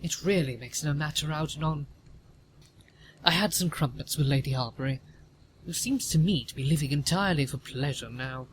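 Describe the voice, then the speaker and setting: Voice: medium pitch, normal speed, a little hiss or hum, natural voice, rough recording. Man playing an imperious, pompous aristocratic aunt. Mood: gossipy, stately. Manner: pompous, disapproving, formal. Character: imperious older aristocratic woman, haughty aunt. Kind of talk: audio play comedy of manners.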